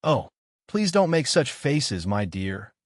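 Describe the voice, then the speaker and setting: Man reading as curious Alice, lively intonation. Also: medium pitch, slow pace, no room sound, clean studio sound, pitched above the performer's own voice. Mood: amused, chiding. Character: curious, kindly young girl Alice, polite and chatty.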